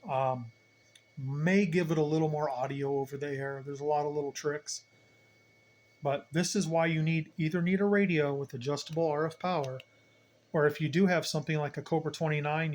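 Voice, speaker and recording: A man giving an unscripted hands-on equipment tutorial, plain-spoken and explaining step by step, repeating key points. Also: fairly high pitch. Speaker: hobbyist demonstrator, channel host.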